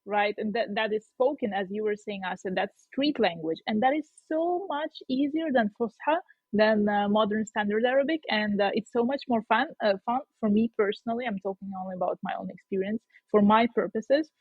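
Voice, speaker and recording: A woman's voice: mid-range voice, brisk, slightly rough, no echo. A woman in a casual podcast conversation explaining things carefully, with many fillers. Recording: dry tinny phone-like line.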